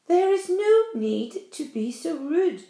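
A woman voicing a prim female glowworm who speaks slowly and coolly, in a storybook reading. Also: high-pitched, expressive, gravelly, mellow, heavily acted. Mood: cold. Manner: slow, haughty, then sweet. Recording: roomy echo.

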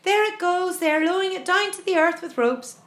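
A woman's voice: high voice, expressive, slow, vocal fry, roomy sound, full character voice. A woman voicing an earnest, watchful boy in a storybook, speaking with urgent wonder. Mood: astonished.

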